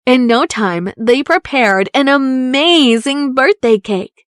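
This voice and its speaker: A woman narrating a children's story aloud in an expressive, deliberate storytelling manner. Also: sits high, dry recording, clean studio sound.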